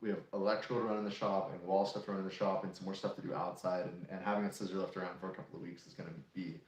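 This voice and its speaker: A young man in his late twenties, chatting through a casual live Q&A. His voice sits fairly low and he talks in an easy, practical way. The room around him gives back a clear echo. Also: racing. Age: 20s. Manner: relaxed, practical, explains at length. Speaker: co-host of a homesteading channel. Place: room in an off-grid shop. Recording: echoey room.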